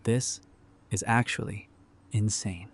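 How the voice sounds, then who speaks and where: A man's voice: lowish voice, fairly even intonation, takes it slowly, slightly rough, heavily acted. A man playing an easygoing, pragmatic apocalypse survivor in a fan audio drama, speaking in a casual, wry way. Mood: curious.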